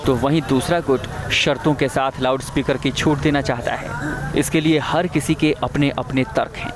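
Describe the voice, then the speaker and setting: Male Hindi news voice-over, mid-pitched. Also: fairly even intonation, moderate pace, noisy background. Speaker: news reporter narrating a report.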